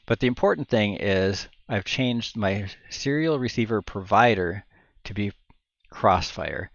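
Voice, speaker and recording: A middle-aged man with a fairly low voice talks through technical steps like an informal tutorial. He explains as he goes, sometimes halting to think aloud. The headset recording is close and dry, with a slightly dull top end. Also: expressive, creaky, a bit bright, no room sound. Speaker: tutorial presenter. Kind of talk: informal step-by-step tech tutorial. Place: indoor room at home. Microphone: headset boom microphone.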